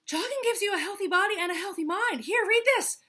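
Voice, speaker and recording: A woman voicing a pushy, health-crazed male hippo in a storybook, loud, breathless and overeager. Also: extremely high pitch, lively intonation, fast talker, voice pushed up, heavily acted. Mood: eager.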